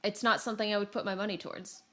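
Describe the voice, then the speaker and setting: A woman with a middle-pitched voice speaks as co-host of a gaming podcast. Her delivery is casual and conversational. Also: steady tone, racing, pushed and bright, soft background music. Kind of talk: casual chat about video games.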